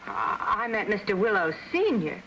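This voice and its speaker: A woman's voice: mid-range voice, sing-song, moderate pace, background noise. An actress playing a flustered young woman pleading for a job, stammering and wheedling, in 1940s film acting. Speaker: job applicant.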